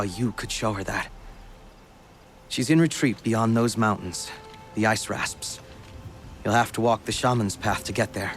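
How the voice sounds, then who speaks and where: Guy doing a grave earnest tribesman, low-ish voice, game music behind. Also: natural voice. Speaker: game character.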